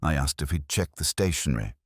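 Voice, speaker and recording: Very deep, brooding detective played by a man. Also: lively intonation, breathy edge, no echo, studio quality, full character voice. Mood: dry, wry. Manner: measured, literary, brooding. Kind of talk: scripted first-person mystery narration.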